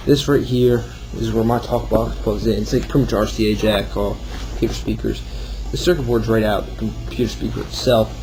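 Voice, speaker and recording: Dude with a lowish voice showing off his homemade build, rambly and casual, kinda self-deprecating. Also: rough recording. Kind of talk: casual off-the-cuff demo narration.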